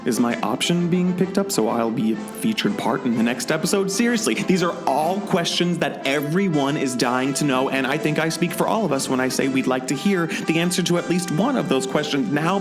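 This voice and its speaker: A man's voice: medium pitch, close to an everyday voice. Man playing a self-absorbed serial announcer over music. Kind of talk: comedic cliffhanger announcer.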